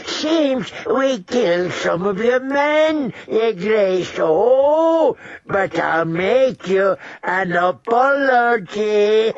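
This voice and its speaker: A cackling spiteful old lord. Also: male voice, sits high, lively intonation, drawn-out words, no room sound, pitched above the performer's own voice, exaggerated voice. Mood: gloating. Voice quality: cackling, mocking, with a taunting "eh?". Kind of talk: audiobook character voice. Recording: dull dry recording.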